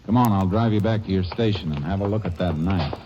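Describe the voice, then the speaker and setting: An actor playing a terse, level-headed Texas Ranger, who also narrates, in a vintage radio drama. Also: male voice, deep voice, fast talker, background noise, close to an everyday voice. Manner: terse, clipped, no-nonsense. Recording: dull old radio recording with music.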